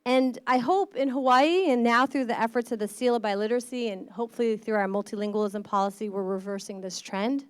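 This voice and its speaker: A woman's voice: on the high side, fairly quick, hardly any pauses, clean tone, no echo, studio quality. A middle-aged woman from the American Midwest, a state board of education member, giving an earnest, passionate conference speech. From Midwestern United States.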